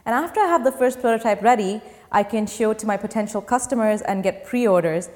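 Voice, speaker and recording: A woman's voice: medium pitch, fairly quick, a little mellow. Young woman founder in a reverberant space. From Dubai. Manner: earnest, explains step by step. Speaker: startup founder. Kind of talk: interview answers. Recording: long reverb.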